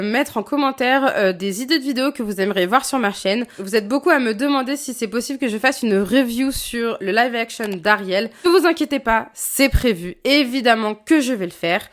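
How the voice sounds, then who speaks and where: Chatty woman in her late twenties. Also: on the high side. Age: late 20s. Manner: chatty, frank, many fillers and restarts. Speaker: YouTuber. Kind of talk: casual Q&A vlog.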